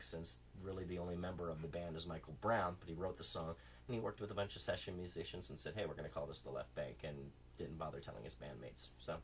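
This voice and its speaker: A man hosting his own acoustic song channel, talking in a relaxed, rambling way with frequent ums. He is congested and phlegmy, and his voice is nearly gone. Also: deep voice, slight background noise. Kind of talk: casual spoken aside after a song.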